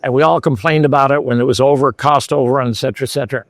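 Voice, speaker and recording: A man's voice: mid-range voice, fairly even intonation, racing, no room sound. A man from Scotland hosting interviews at a trade show, chatty and conversational with hesitant fillers.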